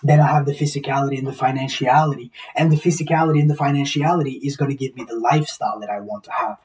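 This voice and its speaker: A man's voice: medium pitch, fairly even intonation, rapid-fire, a little mellow. Man around twenty, mid-range voice, musing and repetitive, recorded slightly distant in a small room. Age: 20s. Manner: rambling, repeats himself, swears. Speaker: vlogger. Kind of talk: reflective casual monologue. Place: small plain indoor room.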